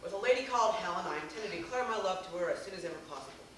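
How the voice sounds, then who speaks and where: A man's voice: sits high, expressive, racing. Man playing fussy formal tutor, echoey theater. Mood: formal, pleading. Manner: formal, declamatory, wordy. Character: fussy, deferential male tutor to a young master. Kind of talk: amateur stage play acting. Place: theater stage, recorded from afar. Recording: echoey noisy theater, distant microphone.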